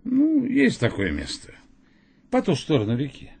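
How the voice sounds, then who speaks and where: Radio drama: enigmatic, playful stranger, fairly high male voice, very animated swoops, slight background noise. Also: moderate pace, vocal fry, exaggerated voice. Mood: intriguing. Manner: teasing, enigmatic, theatrical. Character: Michael, mysterious, playful, persuasive male night-time stranger. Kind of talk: Russian radio drama acting.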